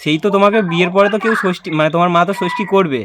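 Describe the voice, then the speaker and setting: A man's voice: sits high, racing, heavy background noise. A young man making a prank call, chatting casually in a playful, persistent, pleading way. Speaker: prank caller. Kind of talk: casual teasing phone chat.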